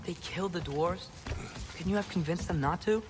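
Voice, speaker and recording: An actor playing a curious, eager teenage son in a video game. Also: male voice, high voice, moderate pace, music in the background, lightly acted. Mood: questioning. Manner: inquisitive, youthful. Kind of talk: naturalistic video game dialogue. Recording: game ambience noise behind voice.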